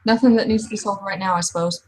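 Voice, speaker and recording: A woman playing a wary but polite werewolf sept member, probing in a measured, hesitant way during a roleplaying game. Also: medium pitch, steady tone, close to an everyday voice. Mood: cautious, practical.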